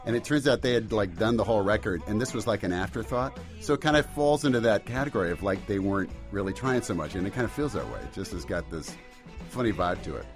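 Middle-aged male musician guest, lowish voice, relaxed conversational answers, faint music behind. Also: fast. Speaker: singer-songwriter guest. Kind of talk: casual interview chat.

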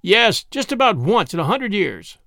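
A man's voice: sits high, very animated, normal speed, fairly bright tone, no room sound, studio quality, pitched above the performer's own voice, exaggerated voice. A man voicing a snarling, sulky camp cook. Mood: sneering. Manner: snarling, sarcastic. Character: grumbling young male camp cook, sulky and defiant.

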